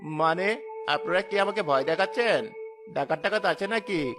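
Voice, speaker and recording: A man's voice: on the high side, normal speed, music in the background, lightly acted. A man playing an impatient, sceptical city traveller in a cartoon horror story, insistent and dismissive.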